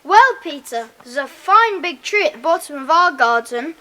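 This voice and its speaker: Bright, high keen schoolboy. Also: female voice, animated, normal speed. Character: keen schoolboy club member. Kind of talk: children's audio drama acting. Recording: faintly noisy cassette tape.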